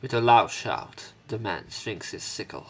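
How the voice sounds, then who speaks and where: A man's voice: lowish voice, a little slow. A man reading a visual novel aloud as a let's play narrator, dramatic in the story parts and casual in his commentary. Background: game music.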